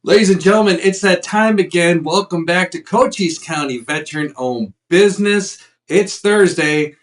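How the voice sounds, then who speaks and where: A man's voice: sits high, normal speed. A man with a Chicago accent hosting a casual interview, upbeat and joking, laughing easily. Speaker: show host.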